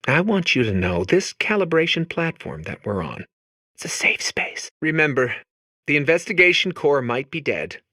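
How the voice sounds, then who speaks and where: Mourning robot: overwrought, needy consoler with bright, forceful, animated delivery, comic game style. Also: male voice, fairly low pitch, no room sound, studio quality, full character voice. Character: melodramatic grieving male robot, solemn and needy. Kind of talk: comic video game character acting.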